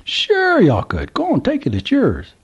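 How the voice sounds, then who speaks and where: A man putting on a squeaky excited old Southern codger. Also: measured pace, husky, muffled recording, heavily acted. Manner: drawling, exclaiming, high and squeaky.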